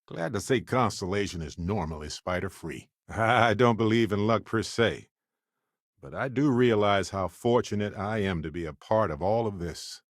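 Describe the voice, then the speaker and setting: Low-voiced, wry space explorer companion. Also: male voice, dry recording, clean studio sound. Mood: wry, amused. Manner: wry, affable, theatrical, given to teasing. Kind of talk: video game companion voice acting.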